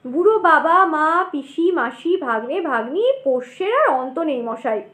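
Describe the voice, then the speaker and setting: Lady playing a nervous poor guy, polite, high voice that swings around, echoey audio drama. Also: expressive, unhurried, a bit bright. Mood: weary, resigned. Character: anxious, talkative poor man travelling to a new job. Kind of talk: audio drama dialogue acting.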